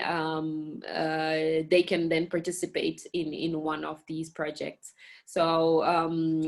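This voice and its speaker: Woman from Mozambique, lower register, halting interview answers full of fillers. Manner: hesitant, many fillers and restarts. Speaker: communications director and girls education program manager at a national park.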